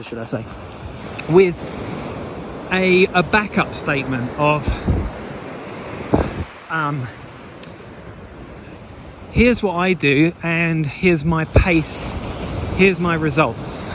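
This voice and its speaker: Male running coach vlogging outdoors, slightly high voice, emphatic and repetitive delivery, clear wind noise on the mic. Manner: emphatic, repeats phrases, asks rhetorical questions. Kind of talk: opinionated off-the-cuff advice monologue to camera. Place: outdoors on a beach walk. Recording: windy, noisy outdoor mic, rumbling background. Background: wind on the microphone, ambient noise.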